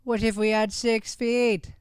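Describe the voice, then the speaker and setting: A man's voice: sits high, level tone, unhurried, smooth, dry recording. A man playing a naive, timid young Irish schoolboy in improvised radio comedy. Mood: hesitant.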